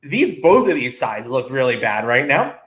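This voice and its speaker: A man's voice: medium pitch, very animated, normal speed, fairly bright tone. A man co-hosting a casual sports talk show, giving blunt opinions in short, punchy phrases.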